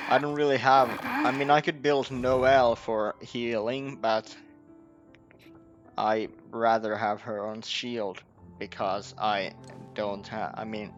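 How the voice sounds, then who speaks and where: A man giving casual gameplay commentary, halting as he thinks aloud. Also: fairly low pitch. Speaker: video game streamer. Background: game music.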